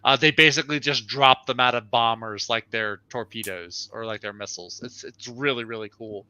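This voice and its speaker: A man's voice: medium pitch, normal speed, pushed and bright, clean tone. A man chatting casually and enthusiastically as he reviews on a livestream show, informal and unscripted.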